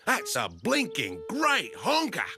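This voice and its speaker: Actor hamming up a loud aussie croc-hunter type, swoopy voice, music under it. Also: medium pitch, wildly swooping pitch, slow, pitched above the performer's own voice, heavily acted. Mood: hearty. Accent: put-on Australian. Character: brash outback wildlife expert.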